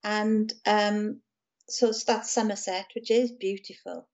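A woman from South Wales with a South Welsh accent, talking calmly and gently as an interview guest about her music and books. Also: medium pitch, unhurried, dull audio. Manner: gentle, calm, chatty with small laughs. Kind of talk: casual interview chat.

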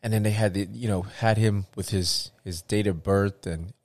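Low-voiced man in rambling casual chat. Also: moderate pace, dry recording. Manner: rambling, animated, many restarts and fillers.

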